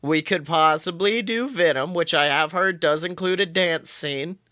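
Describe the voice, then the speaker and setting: Male podcast host, bright and clean-toned, dry close sound, animated comic banter. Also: fairly high pitch, a little slow, no room sound. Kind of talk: casual comedic podcast banter.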